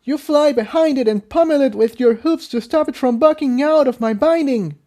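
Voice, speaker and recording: A man plays a bold female unicorn in a storybook adventure, pitched high. She gives urgent, commanding orders as if in the middle of battle. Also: normal speed, mellow.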